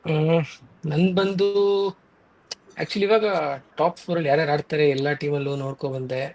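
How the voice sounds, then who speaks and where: A man's voice: fairly high pitch, rapid-fire, a little mellow, a little hiss or hum, rough recording. A man with a South Indian Kannada accent chatting casually in mixed Kannada and English. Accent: Kannada-speaking South Indian. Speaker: cricket show panelist. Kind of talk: casual chat.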